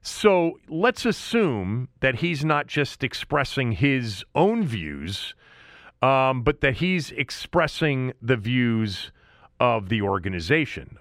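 A man hosting a sports talk show, giving opinionated commentary in deliberate, emphatic phrases with points repeated for weight. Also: medium pitch, a bit bright, dry recording, studio quality. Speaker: sports talk podcast host.

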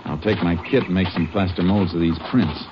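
An American actor playing a calm, methodical Texas Ranger in a 1950s radio western, speaking in measured, terse lines. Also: male voice, low-pitched, natural voice. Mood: focused, assured. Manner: measured, matter-of-fact, terse. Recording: muffled, noisy 1950s radio recording.

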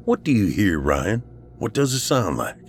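A man's voice: on the low side, sing-song, moderate pace, soft background music, voice pushed up. A man playing a worried, loyal friend in a horror story, earnest and colloquial, easily exasperated. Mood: concerned. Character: worried, loyal male friend, rattled but practical. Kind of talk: audiobook character dialogue, horror story.

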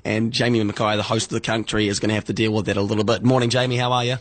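A young New Zealand breakfast radio host speaks in a low voice that is still coming back after he lost it. He talks in an easygoing way, and the studio sound is close and dry. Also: level tone, rapid-fire, no echo. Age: young adult. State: recovering from losing his voice. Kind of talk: casual radio chat.

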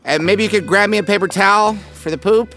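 A man's voice: high-pitched, quick pace, over loud music, close to an everyday voice. An actor playing a clumsy, easygoing young man who jokes about his mishaps. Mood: joking. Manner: joking, easygoing. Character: clumsy good-humored young man, accident-prone. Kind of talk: comedic audio drama.

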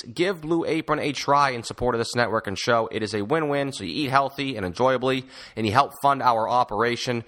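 Bright, forceful male sports podcast host. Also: fairly high pitch. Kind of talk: sports podcast hosting with sponsor reads.

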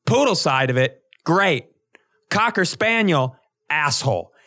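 A man's voice: fairly high pitch, expressive, a little slow, pushed and bright. A man voicing a blunt, callous, foul-mouthed old lady in a comic podcast anecdote. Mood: gruff disdain.

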